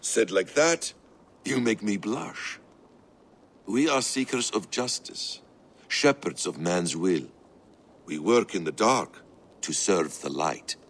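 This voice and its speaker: A man playing a calm, secretive master assassin in a video game, speaking in a measured, cryptic, instructive way. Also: on the low side, heavily acted. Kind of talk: video game dramatic acting.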